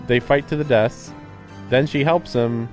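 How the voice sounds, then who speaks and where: A man's voice: mid-range voice, unhurried, darkish tone. A man streaming a visual novel, reading the text aloud and adding casual, questioning commentary. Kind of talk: live game commentary and read-aloud of on-screen text. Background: game music.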